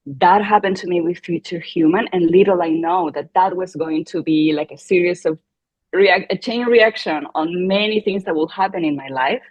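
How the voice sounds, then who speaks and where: Chilean woman guest, husky lowish voice, kinda muffled dull sound. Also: normal speed, dry recording. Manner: animated, quoting her own thoughts with gasps. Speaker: podcast guest. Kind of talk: conversational interview.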